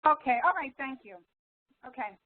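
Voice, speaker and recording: A woman student speaking casually and haltingly as she takes part in an online help session. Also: high voice, expressive, no echo. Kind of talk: casual hesitant spoken question. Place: online web conference. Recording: thin phone-like call audio.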